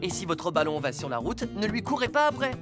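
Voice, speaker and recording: A woman's voice: fairly low pitch, sing-song, fast, over loud music. A woman voicing a kind, sensible talking rescue car in a children's cartoon, instructive and caring. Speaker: safety teacher. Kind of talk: French dubbed children's cartoon acting.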